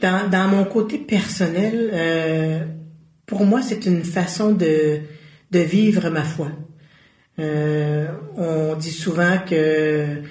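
A woman's voice: sits low, steady tone, slow, a little mellow. A woman with a Québécois French accent, a guest in an interview, talking earnestly and searching for her words as she goes. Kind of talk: casual conversational interview. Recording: roomy echo.

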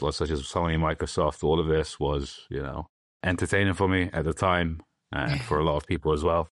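Young guy, super deep low voice, dry close sound, just talking it through plainly on a podcast. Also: no echo. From London, England. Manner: plain, matter-of-fact explaining with loose restarts. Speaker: guest. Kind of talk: podcast interview answers.

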